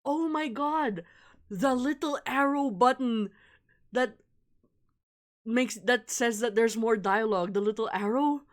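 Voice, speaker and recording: A livestreamer chatting casually while playing a game, rambling and excitable, prone to giggling. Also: medium pitch, unhurried, long pauses. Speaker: livestreamer playing a visual novel. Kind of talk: casual live stream commentary with read-aloud game dialogue. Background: game music.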